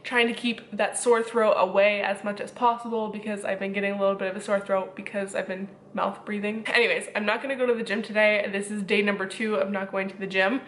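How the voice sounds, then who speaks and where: A woman's voice: medium pitch, a bit bright, slight background noise. A young woman with a stuffy, congested nose from a cold, chatting casually and rambling to the camera from her home kitchen, a bit short of breath. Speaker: vlogger. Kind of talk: casual vlog talk to camera.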